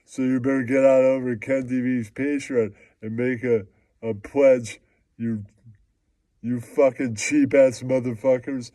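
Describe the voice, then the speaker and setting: A man's voice: mid-range voice, steady tone, unhurried, dark tone, no echo, close to an everyday voice. A man voicing a slangy, boastful, foul-mouthed puppet who repeats catchphrases in comic puppet show banter. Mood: mock-earnest.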